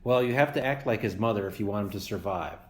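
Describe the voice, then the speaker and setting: Man putting on a bossy old goose lady, squawky, scolding, raised pitch, echoey room. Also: fast, lightly acted. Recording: roomy echo.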